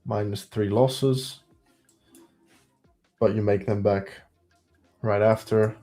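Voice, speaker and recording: A man's voice: on the low side, steady tone, slow, mellow, soft background music. A man with a heavy Belgian accent hosting a live trading stream, thinking aloud as he counts through trades and results.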